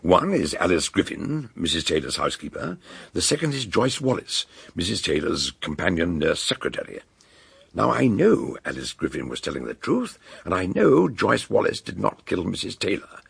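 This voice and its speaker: An actor playing an eccentric, wordy older British detective doctor in a radio drama, genial and courteous, with a gravelly, husky voice. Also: male voice, sits low, big pitch swings, fairly bright tone, no room sound, exaggerated voice. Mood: grave, deliberate. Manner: wordy, deliberate, old-fashioned courtesy.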